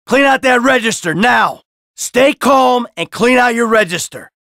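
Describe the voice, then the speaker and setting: A man's voice: very high voice, animated, unhurried, sharp tone, dry recording, clean studio sound, heavily acted. A man voicing a cynical, brash middle-aged American criminal in a video game, sarcastic and foul-mouthed. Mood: threatening. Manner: sardonic, brash, swearing.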